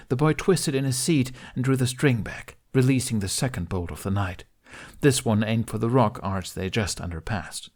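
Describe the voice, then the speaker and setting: A man's voice: fairly low pitch, a little mellow. A man narrating a fantasy adventure audiobook in a dramatic, scripted storytelling style.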